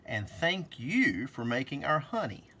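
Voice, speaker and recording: A man's voice: mid-range voice, very animated, measured pace, pushed and bright, a little hiss or hum, pitched above the performer's own voice, exaggerated voice. A man voicing a cheerful, thoughtful flower-friend girl in a children's storybook. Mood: bright, friendly.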